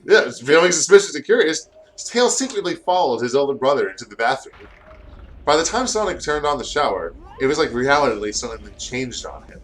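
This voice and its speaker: A tired man of middling pitch reads fan fiction aloud with very lively intonation. He stumbles and rereads lines, breaking off for casual joking asides, while music plays faintly behind him. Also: fairly quick, poor audio. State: tired, short of sleep.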